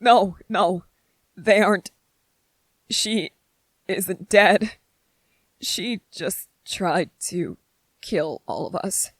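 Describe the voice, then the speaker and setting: A performer playing a gentle young male soldier in an intimate ASMR roleplay, speaking tenderly and haltingly with vulnerable, earnest emotion. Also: medium pitch, no echo, heavily acted. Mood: hesitant confession.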